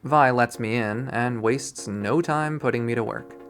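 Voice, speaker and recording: A man reading a visual novel aloud in expressive first-person narration. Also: mid-range voice, a little slow. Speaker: visual novel readthrough player.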